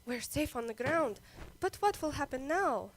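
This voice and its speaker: A woman's voice: high-pitched, animated, moderate pace. A woman playing a frightened young princess in melodramatic adventure serial radio style. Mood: anxious.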